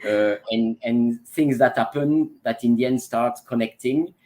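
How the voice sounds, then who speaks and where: A man's voice: medium pitch, unhurried, darkish tone, rough recording. A Belgian man in his forties, a transformation coach talking as an interview guest, with frequent fillers and restarts. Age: 40s. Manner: hesitant, many fillers and restarts. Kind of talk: casual interview talk.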